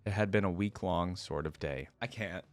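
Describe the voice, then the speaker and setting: Man, low voice, dry sound, casual reaction host, reading and commenting. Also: moderate pace, no echo. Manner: casual, slangy asides. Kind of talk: story read aloud with casual fan commentary.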